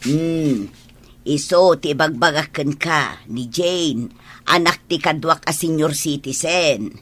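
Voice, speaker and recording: A woman playing a doting, chatty elderly Filipino mother in a radio drama, coaxing and motherly with a husky voice. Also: low-pitched, slow pace, fairly bright tone, exaggerated voice. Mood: coaxing, fond. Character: doting elderly mother, a cheerful matchmaking senior citizen.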